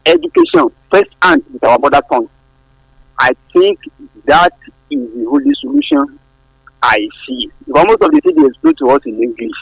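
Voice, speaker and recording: A Nigerian man with a Yoruba accent, whose first language is Yoruba, calling a radio show to share his opinion earnestly, his voice jumping up in pitch when he stresses a point. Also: gravelly, no room sound, poor audio. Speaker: radio caller. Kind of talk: phone-in opinion. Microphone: phone line.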